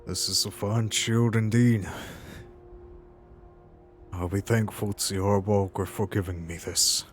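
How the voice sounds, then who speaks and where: Role-play gamer: man with a deep voice, unhurried and halting, thinking aloud in character over soft music. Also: fairly even intonation. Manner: halting, musing. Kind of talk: in-character improvised game narration.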